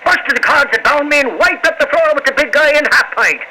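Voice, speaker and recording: An actor playing a boastful, blustering big sidekick in a 1940s radio drama. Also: male voice, very high voice, lively intonation, brisk, pushed and bright, full character voice. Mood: boastful, jovial. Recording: noisy old radio broadcast recording.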